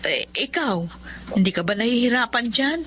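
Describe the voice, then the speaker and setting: A woman's voice: fairly high pitch, animated, moderate pace, background noise, lightly acted. An actress playing a caring, tender middle-aged mother in a Filipino radio drama. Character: caring, hardworking mother working abroad.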